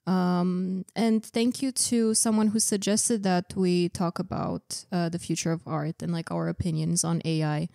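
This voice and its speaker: A young woman with a slightly low voice co-hosts a casual podcast. She chats in a reflective way and often says "like". The sound is dry and close, with no room echo. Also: steady tone, measured pace, vocal fry, few pauses, mellow. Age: young adult. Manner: chatty, reflective, uses "like" a lot. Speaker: podcast co-host. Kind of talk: casual chat.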